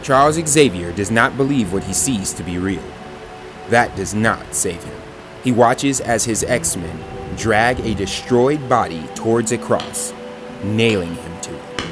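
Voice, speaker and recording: A man's voice: on the low side, lively intonation, a little slow, poor audio. A man giving dramatic scripted narration, grave and portentous in delivery. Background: dramatic music.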